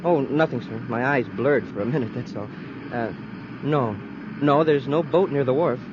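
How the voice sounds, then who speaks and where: An actor playing an earnest, deferential young space cadet sidekick in a 1950s radio adventure. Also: male voice, mid-range voice, normal speed, close to an everyday voice. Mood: uneasy. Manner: boyish, earnest exclamations. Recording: muffled, very noisy 1950s radio recording.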